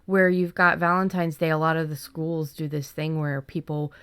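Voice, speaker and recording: A woman's voice: sits low, fairly even intonation, normal speed, fairly bright tone, clear, resonant tone, no echo. A woman co-hosting a podcast, talking casually and informally about writing. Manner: informal, conversational. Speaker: podcast co-host. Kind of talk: casual discussion of fiction writing.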